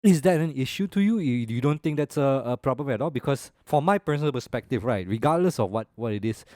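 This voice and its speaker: Young male co-host, Malaysian English accent, laid-back and opinionated, mid-pitched, dry close sound. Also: fast talker, no room sound. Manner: relaxed and opinionated, ends phrases with "lah". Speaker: podcast co-host. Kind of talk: casual podcast chat.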